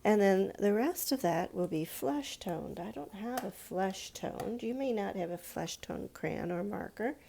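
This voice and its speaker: Female children's drawing teacher, mid-pitched with noticeable vocal fry, informal and patient, explaining step by step. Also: lively intonation, measured pace, hardly any pauses, mellow, slight background noise. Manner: simple, patient explaining with questions to young listeners.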